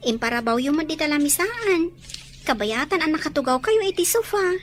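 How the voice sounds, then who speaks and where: A woman acting as a meek young servant girl with a high voice. She is polite and downtrodden, in audio drama style. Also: very animated, brisk, faint music behind.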